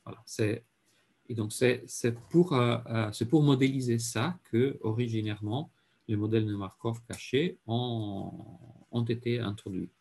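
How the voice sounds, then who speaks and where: A man with an Italian accent lecturing in French, halting with many fillers and repeated words, and often clearing his throat. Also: mid-range voice, steady tone, a little mellow. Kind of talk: university lecture.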